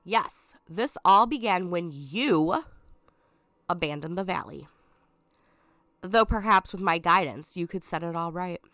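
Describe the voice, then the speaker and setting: A scheming, sarcastic lion king, read by a woman with a cold in a video game walkthrough. He sounds stuffed up yet smooth, pitched on the low side and close to a dry mic. He sneers down at the listener with drawn-out dips and breathy whispered asides. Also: lively intonation, sharp tone, no room sound, full character voice. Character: scheming, sarcastic male lion villain, self-proclaimed king.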